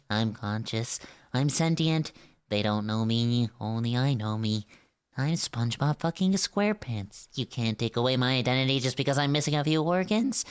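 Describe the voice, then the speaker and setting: A man's voice: mid-range voice, smooth. A man voicing an unhinged cartoon sea sponge, indignant and defiant, ranting obsessively.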